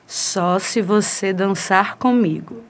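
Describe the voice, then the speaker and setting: A woman's voice: mid-range voice, takes it slowly. A woman playing a flirtatious, self-assured seductress in a radio soap opera.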